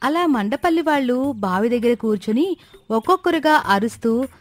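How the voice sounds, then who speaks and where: A woman's voice: fairly high pitch, animated, normal speed, no echo. A woman reading a scripted moral story as its narrator. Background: music.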